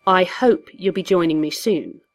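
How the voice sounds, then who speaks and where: A woman's voice: fairly low pitch, moderate pace, lightly acted. A woman voicing a loyal, dour male butler in a narrated story, polite, formal and dry. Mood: polite, insistent.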